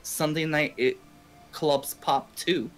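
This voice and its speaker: A man's voice: fairly high pitch, fairly even intonation, slow pace. A young man from Canada telling a rambling, slangy, profanity-heavy story casually on a game stream. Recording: game music under the voice. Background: video game music.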